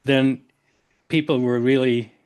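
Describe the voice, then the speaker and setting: A man's voice: medium pitch, steady tone, slow pace, darkish tone, clear, resonant tone. Hesitant man searching for words. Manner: hesitant, many fillers, searching for English words. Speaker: podcast host. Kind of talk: casual conversational interview.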